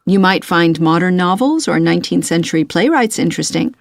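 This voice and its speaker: A woman playing a courteous, methodical university tutor in a scripted listening-test dialogue, measured and encouraging in her advice. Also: lowish voice, expressive, gravelly, dry recording, studio quality. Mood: courteous, advisory.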